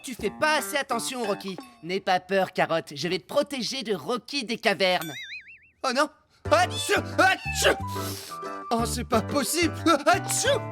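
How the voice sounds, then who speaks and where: A woman voicing an excitable, affectionate, easily flustered schoolboy in a French cartoon, with childlike exclamations. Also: on the high side, measured pace, over music.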